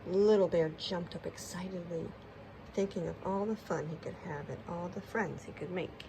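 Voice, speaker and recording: A woman's voice: lowish voice, moderate pace, vocal fry, background noise. A woman storyteller narrating a children's storybook expressively.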